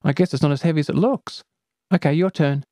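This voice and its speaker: A man's voice: on the high side, fast, warm tone, no echo, studio quality, pitched above the performer's own voice, close to an everyday voice. A man voicing a timid young girl who stammers and apologizes in a rush. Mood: eager. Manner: stammering, eager and breathless.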